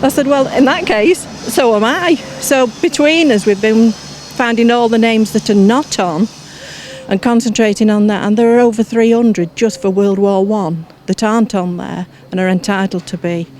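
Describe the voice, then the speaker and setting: A woman's voice: on the high side, normal speed, a little mellow. Older English woman chatting, faint traffic noise. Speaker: interviewee, local researcher. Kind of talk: conversational storytelling.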